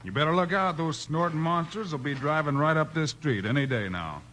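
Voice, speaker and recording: A man's voice: on the high side, a little fast, lightly acted. A man playing a confident, easygoing, teasing western lawman in a 1950s radio adventure. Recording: faintly noisy 1950s radio recording.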